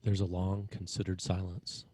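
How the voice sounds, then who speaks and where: A man's voice: low-pitched, little pitch movement, moderate pace, dark tone. A man reading scripted stage directions as the narrator of a screenplay.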